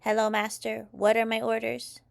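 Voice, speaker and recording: A woman's voice: fairly high pitch, completely flat delivery, moderate pace, creaky. A woman voicing a dad playing a dutiful, overdramatic male robot in a storybook, with robotic, declamatory delivery. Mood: obedient.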